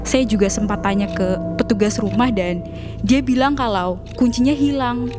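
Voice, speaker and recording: A nervous, deferential young woman played by an actress speaks in a fairly high voice. It is horror audio drama acting, with clear music underneath. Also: normal speed, lightly acted. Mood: polite. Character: uneasy young woman living in a haunted house.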